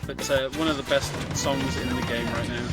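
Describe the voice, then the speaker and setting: A man's voice: medium pitch, steady tone, moderate pace. A man co-commentating casually on a live video game run, with wry, understated asides. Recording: loud game music behind the voice. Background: loud video game music.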